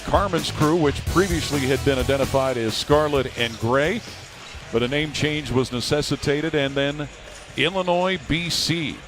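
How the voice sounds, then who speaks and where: A man's voice: on the high side, a little slow. Man broadcaster over arena music. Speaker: play-by-play broadcaster. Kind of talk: sports broadcast commentary.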